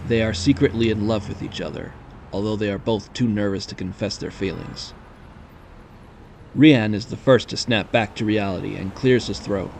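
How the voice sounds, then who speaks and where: Gravelly male storyteller. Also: lowish voice, fairly even intonation, normal speed, darkish tone, slight background noise. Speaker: narrator. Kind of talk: scripted audio drama narration.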